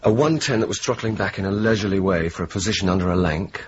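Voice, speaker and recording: Actor as a low-voiced wry young RAF officer. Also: male voice, fast talker, natural voice. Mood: wry, animated. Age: young adult. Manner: dry, ironic, clipped understatement. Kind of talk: radio drama dialogue and narration. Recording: dull, hissy 1980s radio broadcast.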